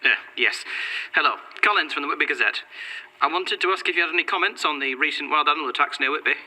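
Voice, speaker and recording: An actor playing an awkward but persistent local reporter, hesitant and apologetic in an audio drama. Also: male voice, a little high, quick pace, sharp tone, rough recording. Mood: awkward, probing. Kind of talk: audio drama press question.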